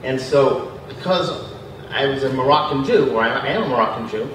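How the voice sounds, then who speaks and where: A young man who grew up in Montreal with Spanish and French as first languages, telling a personal story to an audience in an animated, self-deprecating way. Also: mid-range voice, normal speed, slight background noise. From Montreal, Canada. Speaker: panel speaker. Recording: echoey hall, distant microphone.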